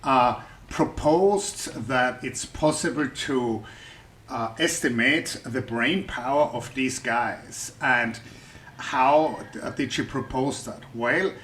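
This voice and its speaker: A man's voice: medium pitch, a bit bright, slight background noise. A man with a German accent explains a scientific debate to a general audience in a chatty, thinking-aloud way, often restarting his sentences. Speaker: science YouTuber. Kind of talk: explaining research for the general public.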